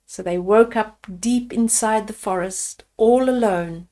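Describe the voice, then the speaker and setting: An older woman reading a bedtime fairy tale aloud to young children, deliberately, with explanatory asides about the pictures. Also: medium pitch, dark tone.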